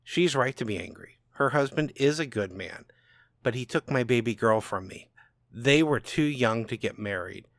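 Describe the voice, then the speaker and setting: A man playing a bitter, self-loathing old father in a subdued, halting audiobook narration. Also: mid-range voice, animated, no room sound, studio quality, natural voice. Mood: brooding regret.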